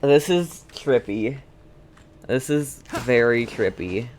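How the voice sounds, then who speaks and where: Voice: mid-range voice, animated, slow pace, a little mellow, soft background music. A streamer giving casual, playful video game commentary, muttering reactions aloud.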